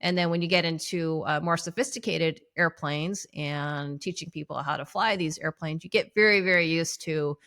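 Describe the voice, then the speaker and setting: A woman with a slightly low voice talks as a guest in a relaxed, conversational interview. She recounts her own experience as an instructor, and the sound is close and dry. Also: normal speed, hardly any pauses, no echo. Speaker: pilot and flight instructor guest.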